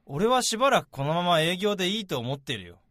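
A young man acting a hearty, easygoing salaryman mentor with casual, rough speech in a radio drama. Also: high voice, big pitch swings, fast, fairly bright tone, no echo, studio quality. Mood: drunk, grumbling. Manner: casual, rough, blokey senior-to-junior speech. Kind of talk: radio drama acting with first-person narration. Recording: music behind the voice.

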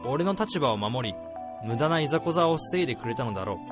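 A man's voice: medium pitch, lively intonation, fast talker, music in the background, lightly acted. A man playing a blunt, hot-tempered AI developer in a radio drama, with dramatic, emphatic delivery. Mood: reflective. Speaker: lead character and narrator.

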